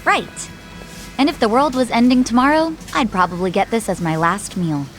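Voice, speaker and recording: An actress voicing a spirited, candid, sassy young woman in an English-dubbed video game, with frank, expressive, casual banter. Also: fairly high pitch, normal speed, soft background music, natural voice. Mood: warm, earnest.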